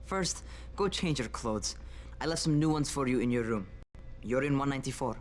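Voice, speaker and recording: A man's voice: mid-range voice, animated, moderate pace, faint music behind, close to an everyday voice. An actor playing a cocky, reckless young man in a video game, brash and teasing like a younger brother turned trainer. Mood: bossy.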